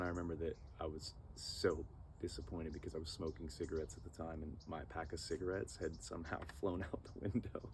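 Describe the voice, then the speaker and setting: A man in his thirties telling a personal story in an earnest, animated way, acting out moments with sound effects. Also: low voice, slight background noise.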